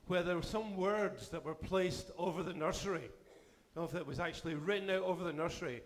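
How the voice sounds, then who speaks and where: A man serving as bishop, delivering a formal address to a church assembly while losing his voice, with a measured pace and sentences that trail off. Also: high-pitched. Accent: Canadian.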